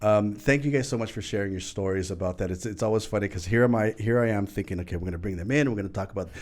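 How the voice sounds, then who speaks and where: A middle-aged man hosting a casual talk show, lively and chatty, often laughing at himself. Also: fairly low pitch, rapid-fire. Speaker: host.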